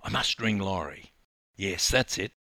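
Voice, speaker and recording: A man's voice: low-pitched, lively intonation, measured pace, gravelly, pushed and bright, no room sound, heavily acted. A man voicing a proud, irritable sportswoman. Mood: inwardly resentful. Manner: snappish, brooding. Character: proud, irritable woman swimming champion losing her records.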